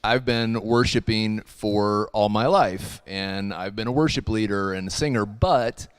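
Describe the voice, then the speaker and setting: A man's voice: on the low side, measured pace, clear, resonant tone, no room sound. A man who leads worship, talking in a rambling, earnest way with many restarts on a casual livestream. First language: not Hebrew. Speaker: worship leader and ministry video actor.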